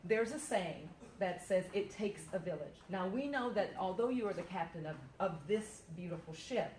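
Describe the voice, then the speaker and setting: A woman's voice: medium pitch, lively intonation, moderate pace, a little mellow, slight background noise. Effusive woman host in a roomy space. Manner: effusive, exclaiming often. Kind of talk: interview hosting.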